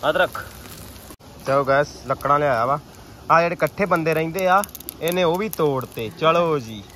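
A man's voice: fairly high pitch, moderate pace, pushed and bright, a little hiss or hum. A young man from Punjab, teasing and joking in casual chat as he vlogs cooking outdoors at a farm. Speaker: vlogger. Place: outdoors by a farm tubewell, village fields.

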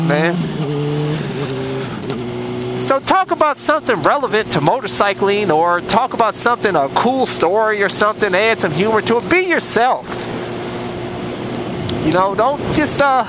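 A man's voice: high-pitched, lively intonation, moderate pace. A man vlogging from his motorcycle on country roads, talking bluntly and rambling with plenty of cussing, picked up by a helmet mic. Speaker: motovlogger. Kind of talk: casual riding commentary. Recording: loud wind and road noise from a helmet mic. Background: loud wind and engine noise.

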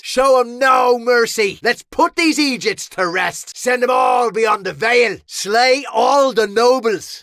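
A cheeky Irish sellsword from a medieval battle game. His delivery is theatrical and brash, full of slang, with big swings in pitch. He takes his time over the words, and the sound is dry and close. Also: pushed and bright, no room sound, full character voice. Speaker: mercenary soldier voice in a video game. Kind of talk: video game battle barks.

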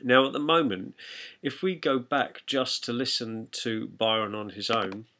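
Bright-voiced man giving a software tutorial. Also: medium pitch, steady tone, measured pace, slight background noise. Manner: explanatory, conversational. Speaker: music production tutor. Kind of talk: informal software tutorial narration.

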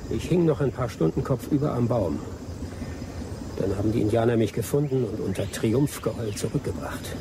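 An actor playing a bitter, vengeful adventurer in a German radio play, telling things with dramatic emphasis. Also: male voice, medium pitch, normal speed, noisy background, close to an everyday voice. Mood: bitter recounting. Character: bitter, vengeful adventurer Anthony, a betrayed Englishman.

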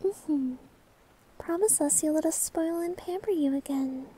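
A woman's voice: high voice, unhurried, warm tone. A woman playing a sweet, bubbly, clumsy young maid in an ASMR roleplay, with a cutesy, teasing, eager manner. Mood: sweet, pleading.

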